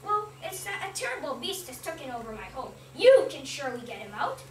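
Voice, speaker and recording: A timid little hare, voiced by a woman pleads in a very high, lively voice. The sound is roomy and set back from the mic. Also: brisk, a little hiss or hum. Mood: pleading. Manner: pleading, anxious. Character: small timid female hare. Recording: echoey room, distant mic.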